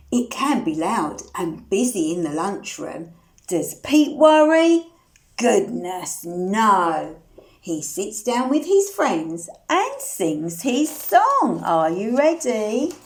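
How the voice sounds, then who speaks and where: Animated high-voiced woman reading to small children. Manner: animated, sing-song, asking the listeners questions. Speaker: storyteller reading a children's picture book. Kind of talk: interactive read-aloud for young children, with sung refrains.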